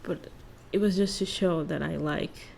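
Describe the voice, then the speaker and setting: Slow, creaky-voiced woman speaking soft ASMR. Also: fairly low pitch, slight background noise. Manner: hushed, halting, lingering phrases, sometimes dropping into whispers. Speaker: ASMR creator and host. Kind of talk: soft-spoken ASMR role-play monologue with song analysis.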